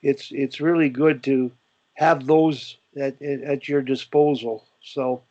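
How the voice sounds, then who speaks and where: A man's voice: mid-range voice, steady tone, slow pace, warm tone, no echo. An older man with a Canadian accent, hosting a coaching discussion on a video call and talking in a rambling way, full of fillers and restarts. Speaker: host. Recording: dull, dry video call audio.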